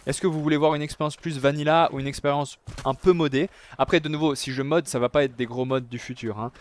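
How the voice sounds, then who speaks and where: A man's voice: fairly high pitch, steady tone, fast. A young man casually commenting on his game, chatty and addressing his viewers often. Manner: chatty, talks to viewers as "les gars", many fillers. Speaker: gaming youtuber. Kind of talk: casual let's-play commentary.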